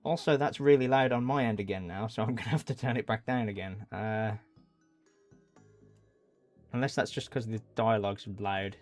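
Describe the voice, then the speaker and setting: A man's voice: on the low side, moderate pace, pauses often, darkish tone. Casual, sweary man livestreaming over music. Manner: apologetic, muttering, sweary. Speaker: game livestreamer. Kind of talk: casual live stream chat. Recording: music behind the voice. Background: game music, keyboard typing.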